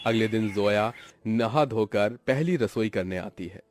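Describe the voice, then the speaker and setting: A man's voice: on the low side, a little slow, a little mellow, soft background music. A man narrating a scripted story for a cartoon.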